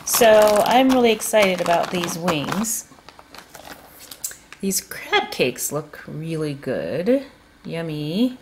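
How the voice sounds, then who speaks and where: A woman vlogger casually talking through her grocery haul at home, unhurried with frequent ums. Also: fairly low pitch, sing-song, noisy background. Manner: chatty, unhurried, many ums. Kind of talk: casual food haul narration. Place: home kitchen table.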